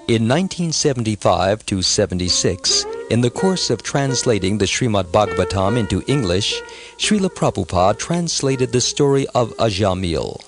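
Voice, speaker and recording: A man reading scripted narration as an audiobook narrator. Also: fairly low pitch. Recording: music behind the voice. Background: music.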